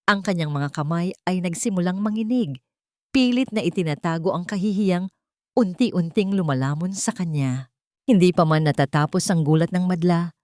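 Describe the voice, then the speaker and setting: A woman with a slightly low voice narrates a romance story. Her delivery is scripted and dramatic, in a literary storytelling manner. The sound is dry, with very little room in it. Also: darkish tone, no echo.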